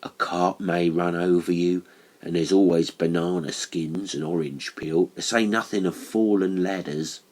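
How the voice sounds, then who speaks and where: Man voicing deep, husky, earnest English tradesman Atkinson. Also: steady tone, a little slow, a little mellow. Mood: grave, earnest. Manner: intensely serious, matter-of-fact. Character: Atkinson, earnest working-class male monumental mason.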